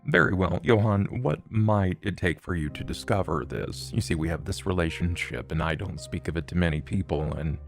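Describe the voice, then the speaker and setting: A man taking part as a player in a tabletop game, speaking in character in a measured, formal way. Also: deep voice, lively intonation, gravelly, soft background music.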